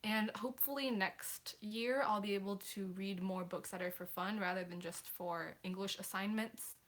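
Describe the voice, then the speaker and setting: A woman's voice: mid-range voice, fairly even intonation, normal speed, a bit bright. A teenage girl hosting a casual livestream, answering viewer questions in a chatty, apologetic way with many hesitations. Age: teens.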